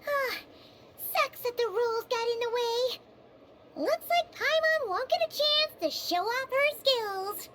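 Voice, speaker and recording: Casting: tiny childlike game sidekick fairy, extremely high voice, boastful, swooping delivery, music behind. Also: female voice, unhurried, background noise. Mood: disappointed. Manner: speaks of herself in the third person, expressive. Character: small excitable female fairy companion, childlike and boastful. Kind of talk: video game character acting.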